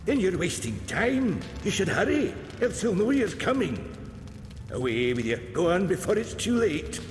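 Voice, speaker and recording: A man's voice: high-pitched, sing-song, normal speed. A man playing an eccentric, kindly old alchemist in a video game, lilting and theatrical. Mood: urgent. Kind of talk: video game character acting. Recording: echoey reverberant sound with music behind.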